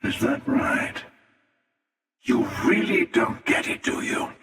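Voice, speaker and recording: Sci-fi game villain: ancient male hunter, gravelly and taunting, with theatrical swoops in pitch, drenched in cavernous reverb. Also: big pitch swings, slow pace, fairly bright tone, distant mic, exaggerated voice. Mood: wry, condescending. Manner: drawling, wry, taunting, theatrical. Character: ancient, sardonic, menacing male starfaring hunter from many lives. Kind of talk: scripted video game character dialogue. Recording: cavernous reverb, voice set back from mic.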